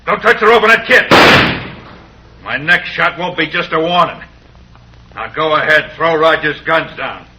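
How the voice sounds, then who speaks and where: An actor playing a ruthless, gloating western villain who barks menacing orders in an old radio drama. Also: male voice, a little high, normal speed, background noise, lightly acted. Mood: commanding, threatening. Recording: sharp, grainy old radio recording.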